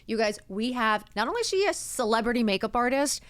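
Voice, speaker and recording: A woman's voice: on the high side, sing-song, quick pace, fairly bright tone, no echo. A woman in her fifties hosting a talk show, gushing and effusive as she welcomes her best friend. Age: 50s. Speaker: podcast host. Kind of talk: casual chat.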